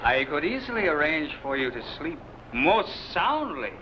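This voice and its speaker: An actor playing a scheming Spanish sailor who questions people with sly menace, in an old adventure serial. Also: male voice, fairly high pitch, sing-song, normal speed, muffled recording. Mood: menacing suspicion. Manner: sly, interrogating, sardonic. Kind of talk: old-time science fiction adventure serial acting. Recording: noisy old serial soundtrack, constant background hiss.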